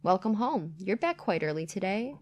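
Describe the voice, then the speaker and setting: A woman's voice: mid-range voice, animated, normal speed, a little mellow, dry recording, close to an everyday voice. A woman voicing an earnest, put-upon young daughter-in-law in a dramatized online story. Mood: polite. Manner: pleading, anxious to please.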